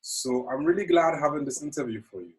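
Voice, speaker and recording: A man's voice: a little high, quick pace. A man interviewing a guest in a friendly way, asking loose, rambling questions.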